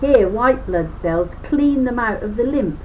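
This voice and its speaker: A woman's voice: on the high side, expressive, unhurried, warm tone, slight background noise, poor audio. A woman reading a children's book aloud as a lesson, explaining as she goes with chatty asides and stressing key words.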